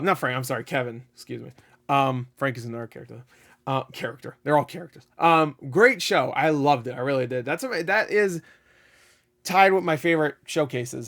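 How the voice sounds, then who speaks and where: A man hosting his own gaming channel, giving a rambling, excitable review with frequent laughs and the odd swear, his voice getting a little raspy. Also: fairly high pitch. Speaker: gaming video host. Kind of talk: casual unscripted review.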